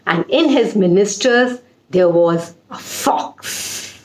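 A woman in her forties with an Indian accent, telling a children's story with deliberate, emphatic repetition. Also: mid-range voice, animated. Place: small indoor room. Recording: roomy echo.

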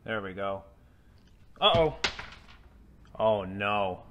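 A man's voice: fairly low pitch, animated, very slow, a bit bright, slight background noise. A man in a workshop casually explaining a repair step by step, with many fillers, recorded on the camera mic. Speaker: DIY repair vlogger. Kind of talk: casual how-to narration. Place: indoor workshop garage.

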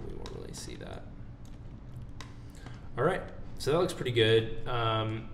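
A man presenting a casual software tutorial, informal and thinking aloud as he works. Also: fairly low pitch. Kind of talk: casual screen-recorded software walkthrough. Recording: roomy, noisy voice-over with keyboard typing.

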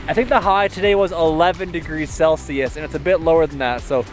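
A man's voice: on the high side, fairly quick, soft background music. A young man talking casually to a camera as a travel vlogger. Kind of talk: casual vlog chat to camera. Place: inside a truck and outdoors at a campground. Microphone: camera held at arm's length.

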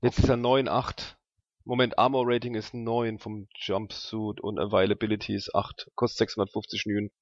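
Lowish-voiced man, dry and slightly dull sound. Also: level tone, moderate pace, no room sound. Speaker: tabletop role-playing player. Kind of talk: casual table chat, muttering through rules and numbers.

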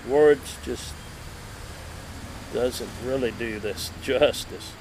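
Slow, halting middle-aged man outdoors. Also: mid-range voice, poor audio. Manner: slow, halting, lingering over words. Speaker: vintage radio collector and video host. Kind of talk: casual unboxing and show-and-tell. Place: outdoor table in a wooded yard. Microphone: camera a few feet away. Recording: steady outdoor background noise.

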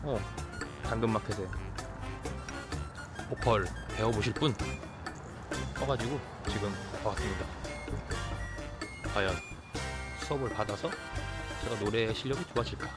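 A man in his thirties hosting a vlog, narrating casually in voice-over with hesitant pauses and fillers. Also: on the low side. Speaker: vlog host. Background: background music.

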